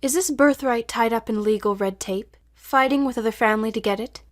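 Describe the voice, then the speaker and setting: A woman acting a patient, probing therapist who speaks in a measured, formal way in an audio drama. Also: on the high side, close to an everyday voice.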